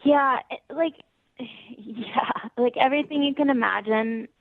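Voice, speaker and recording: A woman's voice: fairly high pitch, a little slow, noisy background. A young woman on a phone call to a radio show, casually telling a story and peppering it with "like". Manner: filler-heavy, uses "like" often. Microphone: telephone. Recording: narrow tinny phone line.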